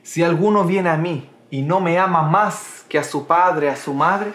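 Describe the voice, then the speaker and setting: A man with a high, light voice leads a relaxed Bible study from home. He talks conversationally, restates each point twice, and keeps checking in with his listeners with a quick "¿ah?". He drops an "amén" between his thoughts. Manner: repeats phrases, punctuates with "amén" and "¿ah?". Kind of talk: casual Bible study livestream. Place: home in Canada.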